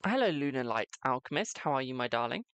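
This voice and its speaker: A young man with a naturally high voice, reading tarot cards live and chatting cheekily with viewers, calling them "my darling". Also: big pitch swings, quick pace, fairly bright tone, clear, resonant tone, no room sound. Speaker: tarot reader on a livestream.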